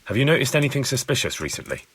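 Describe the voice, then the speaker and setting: A man's voice: medium pitch, very animated, rapid-fire, a bit bright, slightly rough. An actor playing a young medieval man investigating a crime in a video game, plain-spoken and inquiring. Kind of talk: video game dialogue acting.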